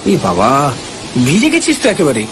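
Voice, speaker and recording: A man acting as an excitable, inquisitive friend in a dramatized ghost story, with exclamatory, incredulous questioning. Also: on the high side, moderate pace. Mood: astonished, concerned. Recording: clearly noisy background ambience.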